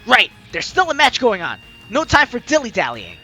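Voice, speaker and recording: A man with a strikingly high, bright voice reads a visual novel aloud as a let's play host. His delivery is theatrical and dramatic, over background music. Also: huge pitch swings, normal speed. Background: game music.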